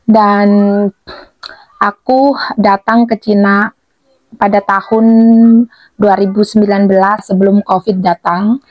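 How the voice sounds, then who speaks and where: A woman's voice: medium pitch, no room sound. A woman vlogger talks casually to her viewers. She takes her time over each phrase and has a cold with a cough and sore throat. The sound is dry and close, but somewhat dull and lo-fi. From Blitar, East Java, Indonesia.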